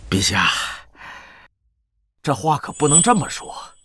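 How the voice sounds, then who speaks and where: Sneering rich merchant, wide-swinging delivery. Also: male voice, fairly high pitch, wildly swooping pitch, measured pace, a bit bright, breathy edge, heavily acted. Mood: smug, taunting. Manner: sneering, pompous, theatrical. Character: arrogant, gloating rich grain merchant, cruel to the poor. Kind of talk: costume drama acting.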